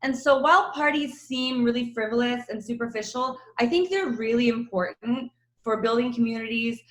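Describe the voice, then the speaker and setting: A woman's voice: on the high side, moderate pace, a bit bright, roomy sound. A woman in her thirties from Croatia, an author chatting in an interview with a self-mocking, laughing manner. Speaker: author guest. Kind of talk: casual interview chat.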